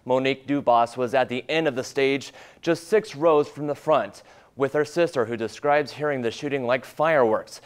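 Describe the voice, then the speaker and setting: A male reporter gives a prepared live news report. His voice sits at a middle pitch. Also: normal speed, clean tone.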